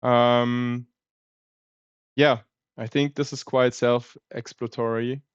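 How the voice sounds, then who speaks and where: A man's voice: medium pitch, level tone, slow, smooth, no echo, lo-fi sound. A man with a German accent chatting casually on a livestream, thinking aloud with plenty of fillers. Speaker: streamer.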